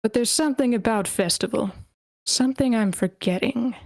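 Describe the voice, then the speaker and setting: A woman's voice: a little high, moderate pace, vocal fry, a little mellow. A woman playing a haughty, disdainful rich noble in a screenplay table read over an online call. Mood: musing.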